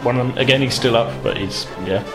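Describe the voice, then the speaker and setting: A man's voice: medium pitch, quick pace. A man acting as game master, explaining and narrating a tabletop role-playing game in a casual, informal way. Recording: music behind the voice.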